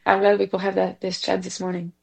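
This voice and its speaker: A woman's voice: medium pitch, monotone, quick pace, creaky, slightly rough. A woman from Belgium whose first language is French, speaking English with a French accent in a chatty interview as a guest. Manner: chatty, restarts phrases, light laughs. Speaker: guest, executive director of a francophone association. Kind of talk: casual interview answers.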